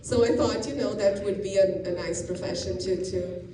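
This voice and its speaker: A woman's voice: mid-range voice, steady tone, normal speed. Brazilian-born woman officer in echoey room. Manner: explanatory, frequent restarts and fillers. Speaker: community police officer. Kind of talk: informal talk to students. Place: school classroom or gym. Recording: echoey room, distant and noisy.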